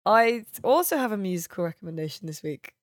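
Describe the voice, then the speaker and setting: A woman's voice: mid-range voice, expressive, a little fast, no room sound, studio quality. A woman hosting a podcast in a casual, chatty style. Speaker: podcast host.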